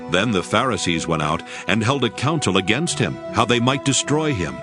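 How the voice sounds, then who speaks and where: A man narrating a dramatized Bible reading with steady, reverent storytelling. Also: lowish voice, normal speed. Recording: studio narration with music beneath.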